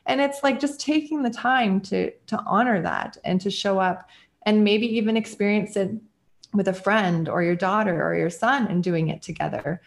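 A woman in her early forties talking casually as a podcast guest, rambling and animated with lots of fillers. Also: medium pitch, normal speed, gravelly. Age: 40s. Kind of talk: casual conversation.

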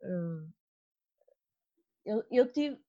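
A woman's voice: medium pitch, lively intonation, very slow, warm tone. A woman with a northern Portuguese accent from Porto, hosting an interview and thinking aloud with frequent hesitations and restarts. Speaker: podcast host. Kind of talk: conversational interview. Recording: dull lo-fi recording.

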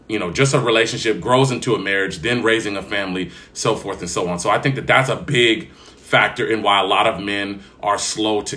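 Low, bright-toned young man. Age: young adult. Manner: measured, teasing, talks in long reasoned turns. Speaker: podcast co-host. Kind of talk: casual chat.

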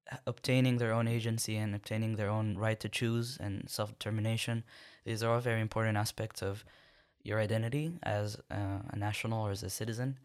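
A young man from Sudan, a podcast guest, answering questions in a thoughtful, measured way with many pauses. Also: on the low side. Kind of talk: conversational interview answers.

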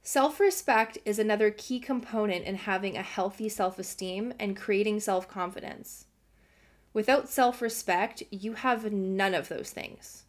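A young adult woman hosting a self-help podcast, earnest and encouraging, her voice slightly hoarse from a cold. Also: mid-range voice, a little slow. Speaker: podcast host.

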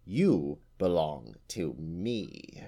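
A man's voice: low-pitched, very animated, very slow, gravelly, mellow, full character voice. A man voicing an old, boastful and fierce female witch who talks with a sneer. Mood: savage. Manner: sneering, snarling at times.

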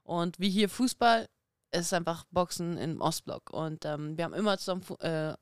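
A woman in her thirties, a former boxing champion guest, talking in a lively, chatty way with many fillers in a casual podcast interview. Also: fairly low pitch, moderate pace, smooth, no echo, clean studio sound. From Kazakhstan, raised in Germany.

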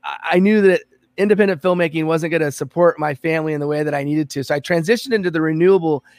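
A man's voice: a little high, fast talker, a little mellow, no echo. A man born in American Samoa and raised in Los Angeles, a podcast guest telling his career story in an earnest, reflective way. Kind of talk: conversational interview.

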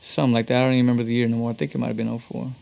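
A man's voice: medium pitch, monotone, rapid-fire, gravelly, darkish tone, dull audio. Laid-back, slangy man telling stories. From Texas, United States. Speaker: musician, talk box artist being interviewed. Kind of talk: casual interview, anecdotal storytelling.

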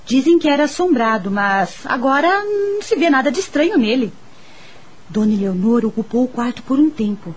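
Radio drama servant: a superstitious Brazilian housemaid, lively, high-pitched, talkative. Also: female voice, a little fast, slightly rough. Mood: confiding.

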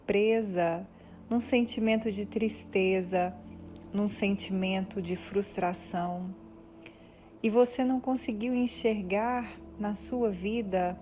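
Brazilian female meditation guide; soothing and relaxed delivery, slow phrases with deliberate pauses. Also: mid-range voice, slight background noise. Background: music.

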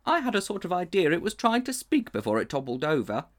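A sensible badger, put on by a man reading aloud, earnest and lightly raised in pitch. He speaks with careful conviction. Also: huge pitch swings, fast talker.

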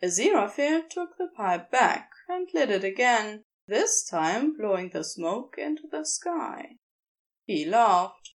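A woman reading scripted fan fiction as narrator, in an expressive, measured storytelling manner. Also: mid-range voice, rough recording. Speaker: narrator and reader.

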